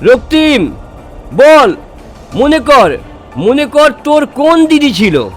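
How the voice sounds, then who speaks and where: A man's voice: very high-pitched, big pitch swings, takes it slowly, faint music behind, exaggerated voice. A man playing a grave, alarmed tantric guru in a horror audio drama, speaking in an urgent, commanding and dramatic way.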